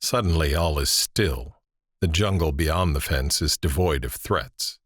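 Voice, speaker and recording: A man narrating scene directions in a scripted audio drama, grave and measured. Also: very deep voice, fairly bright tone, husky, dry recording, studio quality, full character voice. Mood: measured, suspenseful.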